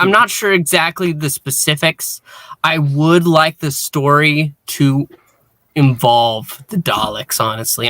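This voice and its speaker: A man co-hosting a casual fan discussion, talking earnestly and haltingly, often repeating words as he thinks. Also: on the high side, bright, forceful tone, dry recording. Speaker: podcast co-host.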